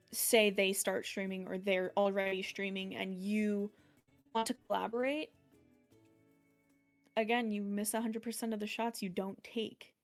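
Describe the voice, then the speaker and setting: A woman streamer with a deeper voice for a woman, chatting casually as a guest in an interview, rambling and laughing often.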